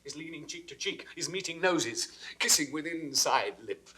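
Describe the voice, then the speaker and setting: A man's voice: a little high, lively intonation, moderate pace, lightly acted. An actor playing a jealous, suspicious king with a British accent, in theatrical classical Shakespearean style for an audio drama. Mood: obsessive, bitter. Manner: theatrical, crisply declaimed, dropping into whispers. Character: jealous, suspicious king brooding over his wife's imagined betrayal. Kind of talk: classical Shakespearean stage acting, 1960s audio recording.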